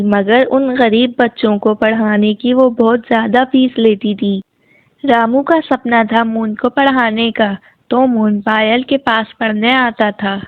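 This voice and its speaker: A woman's voice: fairly high pitch, dry recording. A woman narrating a scripted moral story for children as a storyteller. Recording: thin, phone-like, dry audio.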